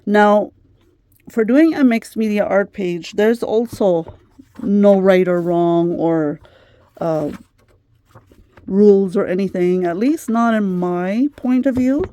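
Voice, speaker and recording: Soft-spoken woman, slightly losing her voice. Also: medium pitch, slow pace. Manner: gentle, chatty, many fillers. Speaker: craft channel host. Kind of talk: casual craft vlog chat.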